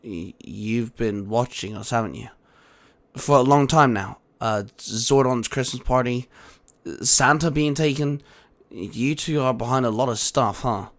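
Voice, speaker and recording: A man's voice: medium pitch, a little slow, a bit bright. A man voicing a shrewd, casual hero in an audiobook, talking in an offhand, colloquial and slightly hesitant way. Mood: accusing. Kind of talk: audiobook character acting.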